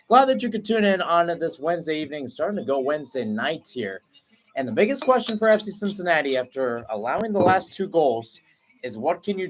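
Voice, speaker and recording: Man, live football play-by-play, energetic, analytical, mid-pitched voice, recovering voice. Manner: energetic, analytical, breaks between halves. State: voice recovering after losing it. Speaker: play-by-play commentator.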